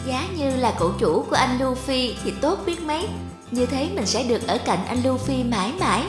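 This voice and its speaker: A woman playing a young, devoted, lovestruck female vehicle in an animated cartoon, with a sweet, earnest and expressive delivery. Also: high voice, brisk, music in the background. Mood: wistful.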